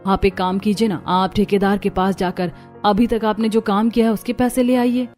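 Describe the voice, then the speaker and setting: A woman's voice: mid-range voice, little pitch movement, normal speed, a little mellow, faint music behind, close to an everyday voice. A woman voicing a poor, concerned young housewife in a cartoon story, speaking earnestly. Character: poor, worried young housewife and daughter-in-law.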